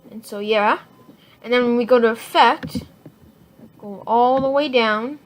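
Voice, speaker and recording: High-voiced woman, noisy tutorial recording. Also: measured pace. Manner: informal, rambling, self-correcting. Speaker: software tutorial host. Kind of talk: casual screen-recorded tutorial, unscripted. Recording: noisy home recording with clicks.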